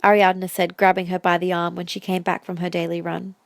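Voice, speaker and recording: A woman's voice: fairly low pitch, completely flat delivery, a little fast, vocal fry, no room sound. A woman reading scripted story narration as the narrator of an audio drama.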